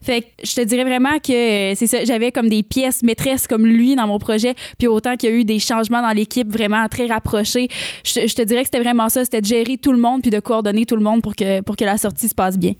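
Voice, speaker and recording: A young Quebec French woman in her twenties chats as a guest, animated, with frequent laughs and restarts. Her voice sits fairly high. The sound is dry and close. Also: quick pace, no room sound. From Quebec, Canada. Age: 20s. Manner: animated, laughs often, many fillers and restarts. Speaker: singer-songwriter guest. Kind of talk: casual interview chat.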